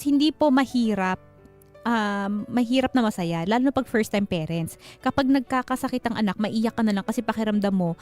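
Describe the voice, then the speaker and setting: Casting: female Filipino talk co-host, high voice, playful teasing delivery, conversational and exclamatory. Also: moderate pace, a little mellow, faint music behind. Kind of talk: casual chat, reading listener comments.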